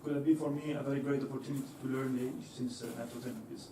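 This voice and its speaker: A man's voice: mid-range voice, fairly even intonation, racing, noisy background. A young man presenting to an audience in a lecture hall, with many fillers and restarts. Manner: hesitant, many fillers and restarts, laughs easily. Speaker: engineer giving a talk. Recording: echoey hall, voice from far off.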